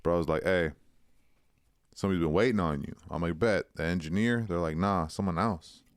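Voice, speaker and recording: A podcast co-host spins a slangy story in a very low voice, playing every part himself. His tone is smooth and resonant. The recording is dry and close. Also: normal speed, no room sound. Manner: animated storyteller, slangy, acts out quotes. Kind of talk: casual storytelling chat.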